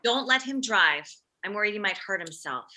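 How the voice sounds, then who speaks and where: Woman acting anxious suburban mother, overprotective, fretful, very sharp bright voice, comedy table read. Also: medium pitch, expressive, moderate pace, a little hiss or hum. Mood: worried. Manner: fretful, blurting.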